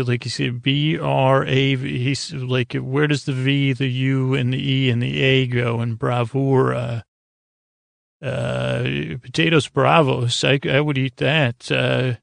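A man with a gravelly, creaky voice rambling as host of a sleep podcast, halting and stumbling over words as the thought wanders. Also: mid-range voice, a bit bright, no room sound, clean studio sound.

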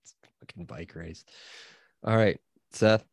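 Low-voiced man running a sports podcast. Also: no room sound. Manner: runs the segments, reacts with quick laughs and curses. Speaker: podcast host. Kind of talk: casual sports chat.

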